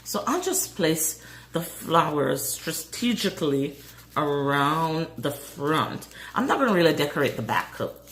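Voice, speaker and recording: A hoarse woman in a casual craft vlog, calmly talking through each step as she works. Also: deep voice, a little slow. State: hoarse, voice going. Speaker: craft vlogger. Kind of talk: casual DIY tutorial narration. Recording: roomy echo with faint noise.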